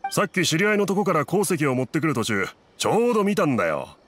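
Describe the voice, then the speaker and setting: A man's voice: a little high, very animated, fast talker, fairly bright tone. A man voicing a matter-of-fact townsman in an anime game. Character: matter-of-fact townsman reporting what he witnessed.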